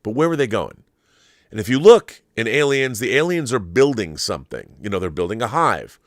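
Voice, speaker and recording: A man's voice: on the low side, big pitch swings, normal speed, no room sound, clean studio sound. A middle-aged man hosting a pop culture show, talking casually and with opinions, rambling and repeating words for emphasis. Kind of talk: casual opinionated monologue answering viewer questions.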